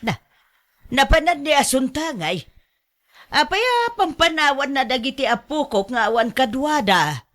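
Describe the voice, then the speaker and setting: A woman acting in a radio drama as an exasperated, scolding grandmother, with a Filipino accent and a drawn-out, complaining delivery. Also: high voice, very animated, a bit bright, heavily acted. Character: exasperated, scolding mother worried about grandchildren.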